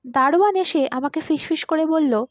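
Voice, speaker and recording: High-voiced woman reading a story. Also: moderate pace, no echo. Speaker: story reader. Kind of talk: expressive scripted narration of a literary short story.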